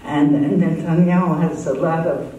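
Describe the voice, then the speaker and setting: An older woman born in Israel, speaking English with an American-sounding accent, explaining her points in a measured, emphatic way as a guest in a live on-stage conversation. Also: low-pitched, warm tone, lo-fi sound, a little hiss or hum. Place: stage in a large dark theatre. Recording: echoey hall, voice heard from far off.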